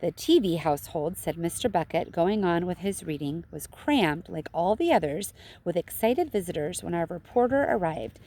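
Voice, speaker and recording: A woman's voice: on the low side, lively intonation, normal speed, warm tone. A woman reading a children's story aloud expressively, like a teacher to pupils.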